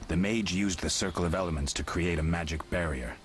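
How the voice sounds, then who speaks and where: A man playing a gruff, stoic monster hunter in a video game, terse and restrained, with a gravelly voice. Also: deep voice, fairly quick, natural voice. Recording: game audio with clear background noise.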